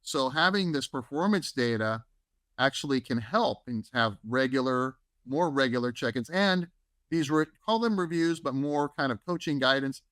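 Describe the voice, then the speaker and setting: A man's voice: medium pitch, animated, normal speed, a bit bright, no echo. A man co-hosting a podcast, giving advice in a conversational way that leans on "right?" and "you know". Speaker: podcast co-host. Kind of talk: casual advice talk.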